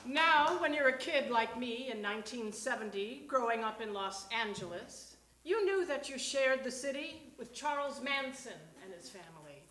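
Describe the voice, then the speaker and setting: A woman performing a comic personal monologue on stage, in a halting, conversational way with dramatic pauses. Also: fairly high pitch, expressive, background noise. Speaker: reader at a live storytelling show. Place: small theatre stage. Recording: echoey live stage recording.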